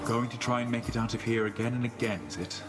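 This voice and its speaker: An actor playing a genial, deferential shade of a condemned man in a game, courteous and gently chatty. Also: mid-range voice, fairly even intonation, quick pace, lightly acted. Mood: curious, courteous. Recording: music underneath.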